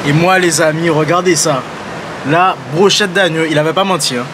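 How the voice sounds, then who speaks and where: A man's voice: fairly high pitch, steady tone, normal speed, background noise. A man chatting casually and enthusiastically as a food vlogger, using slang and addressing his viewers. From Guadeloupe. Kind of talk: casual vlog chat, tasting food.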